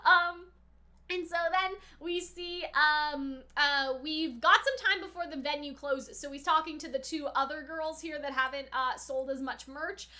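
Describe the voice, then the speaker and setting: A woman's voice: high voice, measured pace, bright, forceful tone. A young woman giving a casual, chatty anime reaction commentary, peppering her sentences with "like" in very informal speech. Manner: chatty, informal, often saying "like". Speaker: reaction video host.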